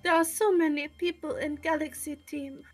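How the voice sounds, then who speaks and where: A worried female villager from a video game, put on by a woman reading along. Her voice is high and sweetly imploring, as if begging for help. Music plays behind her. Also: steady tone, moderate pace, natural voice. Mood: pleading. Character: pleading worried female villager with headache-stricken pets. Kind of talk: reading game dialogue in character.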